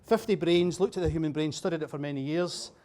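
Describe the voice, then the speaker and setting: A Scottish man with a fairly high voice gives a keynote at a dinner, chatty and quick with a joke. He keeps throwing questions at the audience. He is miked up in a venue with a bar. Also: darkish tone. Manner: chatty, jokey, quizzing the audience. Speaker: keynote speaker. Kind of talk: humorous after-dinner talk.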